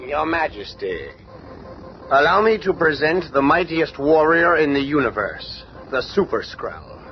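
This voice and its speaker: A man's voice: a little high, big pitch swings, a little slow. A man playing a proud alien wizard, formal and fawning, presenting like a grand showman in a radio drama. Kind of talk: superhero radio drama acting. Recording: noisy muffled radio drama.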